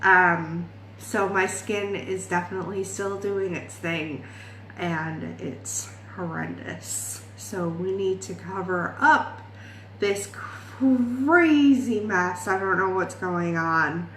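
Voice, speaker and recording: A woman's voice: fairly low pitch, takes it slowly, a bit bright, reverberant, slight background noise, poor audio. A woman in her late twenties from Ireland, chatting in a rambling, self-deprecating way in a makeup vlog. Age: 20s. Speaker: beauty vlogger.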